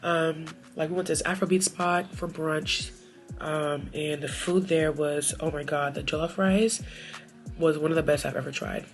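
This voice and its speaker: A woman's voice: low-pitched, steady tone, moderate pace, soft background music. An American woman with a cold chatting casually and playfully in slang, with self-deprecating asides, like a beauty vlogger getting ready. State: has a cold. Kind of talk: casual get-ready-with-me chat.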